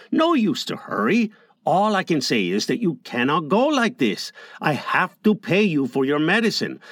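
A man's voice: on the high side, a bit bright, no room sound, studio quality, pitched above the performer's own voice. A man voicing a grateful village father who talks in elaborate, flowery speeches.

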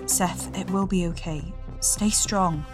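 A man voicing a composed mother on the run, calm and reassuring while hiding worry. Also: on the high side, moderate pace, music in the background, voice pushed up, lightly acted. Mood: urgent. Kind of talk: audiobook narration.

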